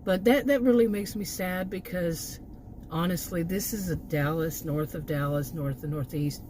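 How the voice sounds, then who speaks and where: Female, American, lowish voice, exasperated off-the-cuff vlog rant, noisy background. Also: normal speed, warm tone. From Texas, United States. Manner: exasperated, chuckles between remarks. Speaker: food delivery gig worker, vlogger. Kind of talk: casual rambling vlog rant.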